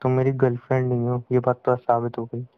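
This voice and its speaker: A man's voice: mid-range voice, monotone, fast talker, clean tone, no room sound, soft background music. A young man with an Indian accent bantering casually, mock-scolding in playful couple chat. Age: young adult. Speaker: boyfriend on a phone call. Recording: dull, dry lo-fi call recording.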